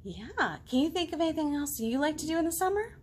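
A woman in her thirties talking to young children as a storytime librarian, expressive, with simple questions and pauses. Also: sits high. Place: home living room.

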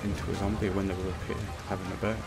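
A man's voice: low voice, flat delivery, rapid-fire. A man giving casual, joking game commentary in a matter-of-fact way. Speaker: gaming video host. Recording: loud game audio and music behind.

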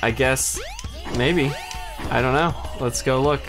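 A man's voice: mid-range voice, takes it slowly, over music. A man co-hosting a let's play, chatting casually as the game is played. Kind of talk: casual gameplay chat.

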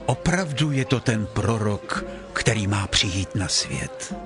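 A man's voice: on the low side, animated, over music, close to an everyday voice. An actor playing an earnest man from a crowd of followers in a dramatized scripture reading. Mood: awed.

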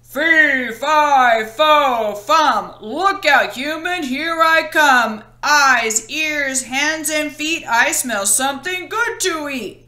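A woman voicing a fierce, hungry male giant who shouts his chant, in an echoey room. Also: high-pitched, drawn-out words, full character voice. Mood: booming.

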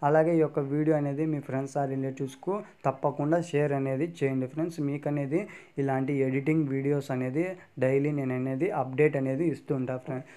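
A man's voice: mid-range voice, little pitch movement, fast, warm tone, clean tone. A man hosting a phone app tutorial, talking through each step and constantly repeating fillers and "friends". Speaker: tech tutorial host. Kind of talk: screen-recorded app tutorial.

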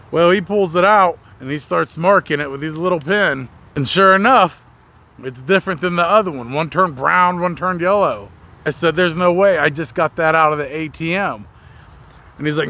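Bright, higher-voiced American man telling stories. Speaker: mobile mechanic and video host. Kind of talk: casual storytelling to camera. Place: outdoors in a leafy backyard.

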